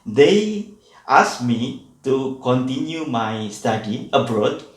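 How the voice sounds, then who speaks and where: A man's voice: medium pitch, sing-song, slow. Man, distant roomy sound. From Indonesia. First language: Indonesian. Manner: hesitant, frequent "uh" fillers and restarts. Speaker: guest, PhD student and university lecturer. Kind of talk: casual interview answers.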